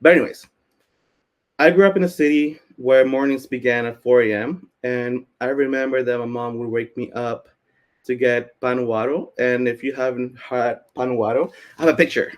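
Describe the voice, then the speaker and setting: A man's voice: mid-range voice, fairly even intonation, moderate pace. A man from Peru, a native Spanish speaker, giving an informal storytelling presentation in a conversational way, peppered with filler words like "basically". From Cusco, Peru. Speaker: speaker, community founder presenting.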